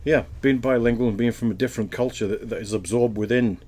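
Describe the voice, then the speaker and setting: A man's voice: mid-range voice, quick pace, darkish tone, slight background noise. A Welsh man answering interview questions in a casual, thoughtful way, often rambling and restarting his sentences. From Wales.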